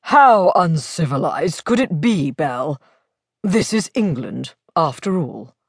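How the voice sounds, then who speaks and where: A woman voicing a wry, urbane gentleman lord in an audiobook, droll and deliberate in manner. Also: sits low, very animated, husky, dry recording, clean studio sound, voice pushed down, heavily acted. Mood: amused musing.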